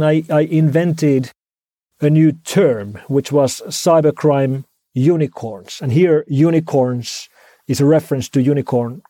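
A man's voice: a little high, warm tone, dry recording. A man with a Finnish accent, a native Finnish speaker, talking as an interview guest with dry humor and frequent repeated words. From Finland. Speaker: guest author. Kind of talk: conversational interview.

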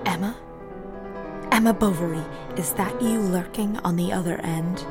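A woman's voice: on the low side, normal speed, close to an everyday voice. An actress playing a sinister, mocking woman in an audio drama, taunting an old friend. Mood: mocking, menacing. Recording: music behind the voice.

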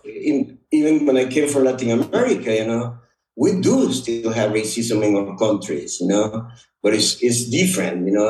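A man with a Honduran Spanish accent shares personal memories in conversation, at a middling pitch. He is reflective and halting, often dropping in "you know." The sound is echoey, and he sits a little way from the microphone. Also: darkish tone. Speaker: podcast guest. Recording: roomy echo, distant microphone.

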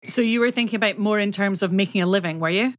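A woman's voice: mid-range voice, quick pace. A woman hosting a podcast, asking friendly questions that often end in tags. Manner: friendly, leading questions with tag questions. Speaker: podcast host. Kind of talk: interview questions.

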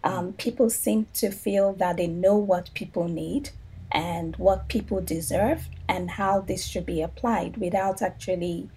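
A woman's voice: on the low side, fairly even intonation, a little slow, warm tone, a little hiss or hum, low-quality recording. A woman from Africa, a social work professor, explaining her views earnestly as a podcast guest. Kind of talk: interview answers.

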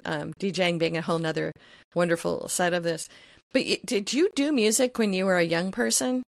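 A woman's voice: lowish voice, creaky, dry recording, clean studio sound. A woman hosting an interview, asking attentive, probing questions in a conversational way. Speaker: podcast host.

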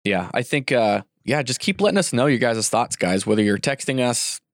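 A man's voice: mid-range voice, expressive, normal speed, no echo, studio quality. A congested man hosting a casual podcast, rambling with fillers and restarts. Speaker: podcast host.

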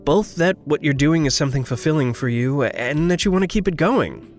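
A woman acting a gentle, sincere, encouraging friend in a naturalistic audio drama. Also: deep voice, animated, fairly quick. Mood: warm, encouraging.

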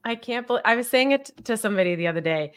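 A woman's voice: fairly high pitch, lively intonation, racing, clear, resonant tone. A woman hosting an interview podcast, talking upbeat and effusive in a casual way. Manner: upbeat, effusive, warm thanks. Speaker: podcast host.

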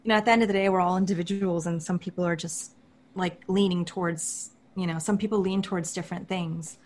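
A woman's voice: on the low side, steady tone, fast, slight background noise. A woman in a casual group conversation who rambles, leaning hard on fillers like "like" and "you know".

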